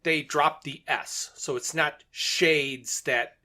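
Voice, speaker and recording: A man's voice: a little high, fairly even intonation, slow, pushed and bright. A man co-hosting a podcast, casually discussing and retelling a story in a conversational way. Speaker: podcast co-host.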